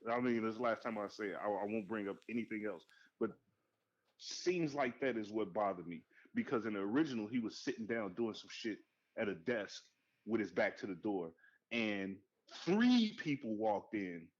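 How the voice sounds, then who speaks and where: A man co-hosting a podcast in casual movie chat, animated and profane, jumping to high excited outbursts. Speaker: podcast co-host. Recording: dull lo-fi sound.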